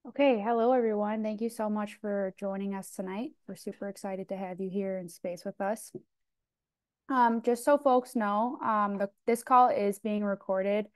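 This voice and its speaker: A woman's voice: mid-range voice, fairly even intonation, moderate pace, no room sound. A young woman hosting a video call meeting as outreach coordinator, upbeat and welcoming with frequent ums. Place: dim room indoors. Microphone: earbuds on video call.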